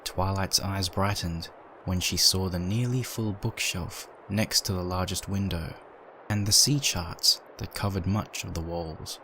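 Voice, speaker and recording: A man's voice: deep voice, unhurried, rough recording. A man reading a scripted story as narrator, in the manner of a dramatic audiobook. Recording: steady ambient noise behind.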